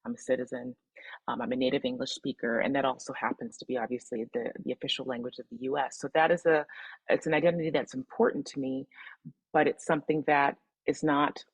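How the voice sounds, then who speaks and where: A woman from the Midwest leads an online workshop, talking participants step by step through a reflection exercise. Her voice sits low and has a slightly husky edge. The sound is dry and close, like a video call. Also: fairly even intonation, quick pace, dry recording, rough recording. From Midwestern US, Michigan. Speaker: webinar presenter.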